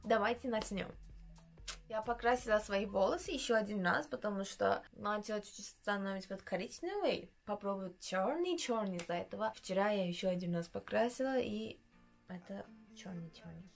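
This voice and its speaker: A young woman from Uzbekistan with a Turkish-tinged accent in Russian, chatting casually while doing her makeup in her bedroom and often pausing to search for words. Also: mid-range voice, gravelly, soft background music. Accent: Turkish-influenced Russian. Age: young adult. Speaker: beauty vlogger. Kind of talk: casual makeup vlog chat. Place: small bedroom indoors.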